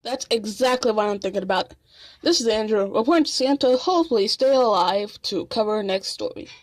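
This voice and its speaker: A woman's voice: mid-range voice, fairly quick, close to an everyday voice. A woman playing an anxious young male field correspondent, hesitant and informal in a mock live report. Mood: shaken.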